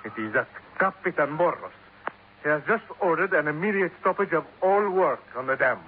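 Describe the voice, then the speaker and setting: A man's voice: fairly high pitch, animated, fairly quick, slightly rough, narrow, tinny audio. A man playing an urgent, agitated messenger in a 1950s radio drama. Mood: urgent, alarmed. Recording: noisy, thin 1950s radio recording.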